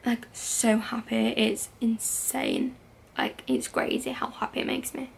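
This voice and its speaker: A young woman in her late teens vlogs about herself in a frank, unpolished way. She leans on "like" and "um" as she talks. Her voice sits a touch high and keeps slipping into a creaky fry. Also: flat delivery, a little slow. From United Kingdom. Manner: candid and chatty, with frequent "like" and "um" fillers. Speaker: vlogger. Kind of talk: casual confessional talk to camera.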